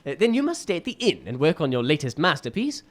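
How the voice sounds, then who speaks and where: A man playing a shrewd, thoughtful detective in a radio mystery drama, speaking in a polite, formal, deliberate way. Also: a little high, big pitch swings. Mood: courteous.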